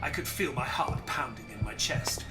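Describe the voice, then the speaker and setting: An actor playing a polite, earnest detective's companion who narrates an audio drama in a formal, theatrical storytelling manner. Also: male voice, mid-range voice, normal speed, music in the background, close to an everyday voice. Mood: dramatic narrating.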